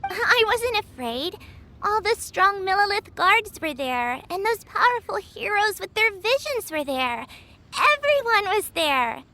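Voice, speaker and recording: A woman's voice: very high-pitched, very animated, measured pace, sharp tone, a little hiss or hum, exaggerated voice. A woman voicing an innocent, cheerful little girl who is curious and trusting, in a video game. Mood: proud, innocent. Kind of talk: English video game voice acting. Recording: music playing behind the voice.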